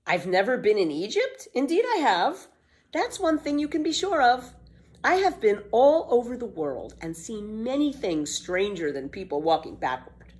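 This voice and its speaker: An exuberant little girl from a children's storybook, played by a woman reader. She boasts with carefree, theatrical emphasis. Her pitch sits high and swoops freely. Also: big pitch swings, normal speed, darkish tone. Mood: indignant boasting. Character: bold, boastful, exuberant little girl telling tall tales. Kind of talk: children's storybook read-aloud.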